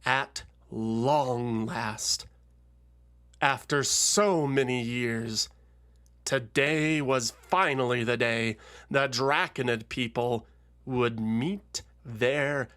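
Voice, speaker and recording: A man narrating a scripted fantasy story in a solemn, measured, dramatic manner with deliberate pauses. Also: mid-range voice, fairly bright tone. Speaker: narrator and show host.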